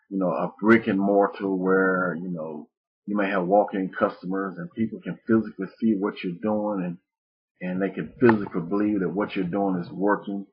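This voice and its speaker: Man, webinar presenter; low, husky, dull lo-fi sound, folksy and rambling. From Mississippi. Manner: rambling, many fillers and restarts, folksy. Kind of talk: live webinar teaching. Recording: muffled lo-fi webinar audio.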